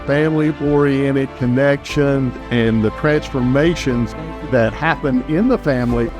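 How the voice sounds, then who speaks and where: Mid-pitched man speaking over music. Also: a little slow. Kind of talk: film testimonial.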